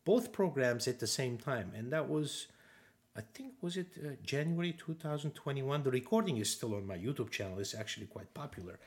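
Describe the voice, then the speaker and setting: A man's voice: medium pitch, expressive, brisk. Man with a Turkish accent, rambling. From Turkey. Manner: rambling, many fillers and restarts. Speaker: guest, course instructor. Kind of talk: casual interview chat.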